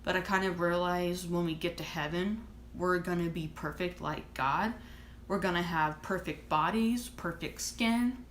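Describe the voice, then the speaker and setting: A woman's voice: lowish voice, unhurried, a bit bright, reverberant, a little hiss or hum. A woman in her thirties chatting casually to camera as a vlogger, rambling and restarting her thoughts. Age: 30s. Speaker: vlogger and author.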